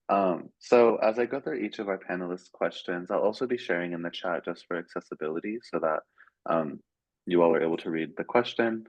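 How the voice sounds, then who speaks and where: A very deep-voiced man moderates an online panel in a friendly, organized way. He often fills pauses with "um." The call audio sounds dull and dry. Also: no echo.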